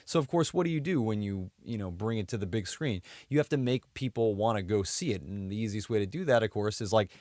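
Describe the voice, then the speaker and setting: A man's voice: lowish voice, expressive, fast, few pauses, a little mellow, dry recording, clean studio sound. A man co-hosting a podcast, talking casually about movies with loose, conversational phrasing. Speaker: podcast co-host.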